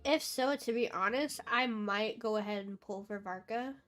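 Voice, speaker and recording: A woman's voice: on the high side, normal speed, fairly bright tone, faint music behind. A woman streaming a game, talking casually and apologetically to her chat while congested and sniffly from a cold. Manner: chatty, apologetic, easygoing.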